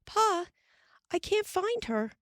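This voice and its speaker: A woman voicing a scared young pioneer girl with a high, pleading voice. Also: sing-song, slow pace, slightly rough, no echo, studio quality, exaggerated voice.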